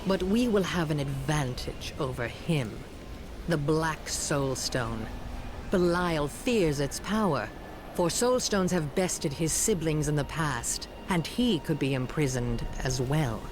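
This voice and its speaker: An actress playing a hardened, vengeful mystic in a fantasy game, with a solemn, stern delivery. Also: female voice, low-pitched, lively intonation, takes it slowly, background noise. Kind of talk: fantasy video game voice acting. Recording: loud background ambience.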